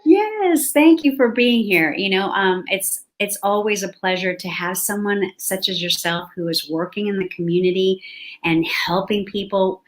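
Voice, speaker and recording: A woman hosting an interview, asking questions and often restarting her phrases. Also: mid-range voice. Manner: restarts phrases, speeds up and slows down. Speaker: host.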